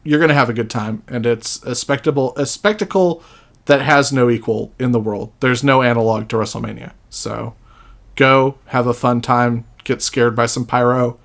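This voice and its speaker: A man's voice: medium pitch, steady tone, moderate pace, vocal fry. A man appearing as a podcast guest, chatting casually. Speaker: podcast guest, wrestling writer.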